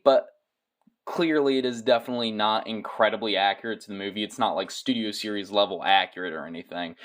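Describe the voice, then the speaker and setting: A man doing casual product review commentary as a toy reviewer, with dry, joking asides. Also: mid-range voice.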